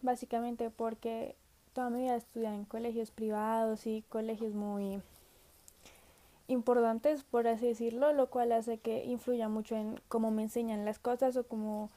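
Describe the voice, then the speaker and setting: Hesitant teenage girl with a mellow voice. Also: fairly high pitch. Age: teens. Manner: hesitant, trails off and laughs often. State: sick. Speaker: student answering questions. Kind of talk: casual spoken video message.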